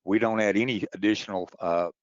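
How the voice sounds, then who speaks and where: A man with a deep voice moderates an informal webinar, putting questions and explaining procedures. His audio is close and dry, with a slightly dull, lo-fi quality. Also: steady tone, brisk, dry recording.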